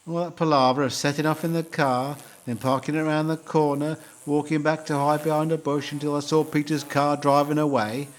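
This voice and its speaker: A middle-aged man playing a mild, slow-witted, pedantic husband in a comic audio play, hesitant, literal-minded and polite. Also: fairly high pitch, level tone, close to an everyday voice. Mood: matter-of-fact. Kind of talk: two-hander comic audio play dialogue.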